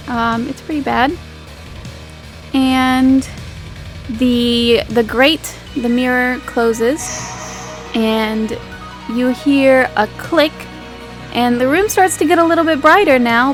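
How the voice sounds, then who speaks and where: A woman working as game master, narrating an improvised tabletop game in a playful, conversational way. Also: high-pitched.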